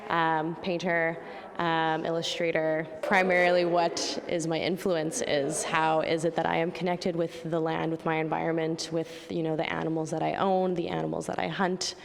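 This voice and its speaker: A woman's voice: lowish voice, level tone, normal speed, creaky, big-room sound, a crowd in the background. A young woman artist from Manitoba, Canada, reflecting casually in an interview with frequent "like" and "you know". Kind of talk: reflective interview talk about own art.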